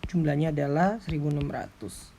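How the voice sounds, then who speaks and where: A man explains like an accounting lecturer in an informal tutorial, going step by step in a halting way. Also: fairly high pitch, animated, dark tone. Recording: clearly noisy background with clicks.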